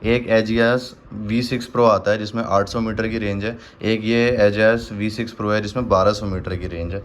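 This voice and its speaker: A man's voice: lowish voice, steady tone, normal speed. A man giving a casual hands-on product demonstration, chatty and informal, talking straight to viewers like a vlogger. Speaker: motorcycle vlogger and product reviewer.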